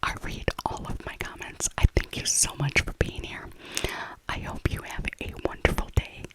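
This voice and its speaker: A woman speaking in a breathy, unvoiced whisper, gently rambling through a casual ASMR voiceover and often repeating her phrases. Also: moderate pace, rough recording. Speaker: ASMR creator narrating a shopping trip.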